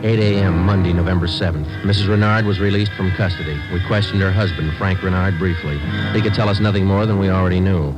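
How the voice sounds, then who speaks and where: An American actor playing a terse, businesslike police sergeant who narrates his case in deadpan, clipped old radio drama style. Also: deep voice, fast, few pauses, lightly acted. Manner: deadpan, matter-of-fact. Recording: dull vintage radio recording with music.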